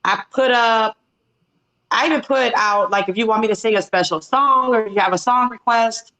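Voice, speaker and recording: A woman in her forties from Detroit talks casually as a guest on a video call. Her voice sits a little high, and she restarts her sentences as she goes. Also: normal speed, bright, forceful tone, dull audio. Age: 40s. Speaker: singer and guest.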